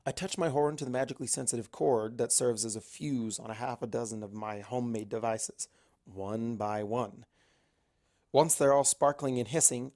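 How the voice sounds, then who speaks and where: A man's voice: mid-range voice, gravelly, warm tone. A man reading a first-person adventure story aloud as an amateur audiobook narrator.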